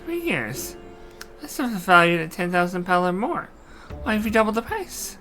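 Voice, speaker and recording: An earnest young heir to a fantasy game throne, played by a man, speaks with emphasis and an impulsive streak. The voice is bright and forceful over background music. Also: high-pitched, big pitch swings, normal speed, pitched above the performer's own voice, full character voice. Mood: indignant. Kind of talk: amateur character voicing of game dialogue.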